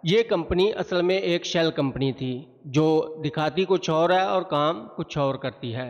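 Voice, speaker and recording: A man reading a scripted documentary-style explainer in a formal, measured way. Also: on the high side. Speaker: narrator of an explainer video. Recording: muffled, long reverb on voice.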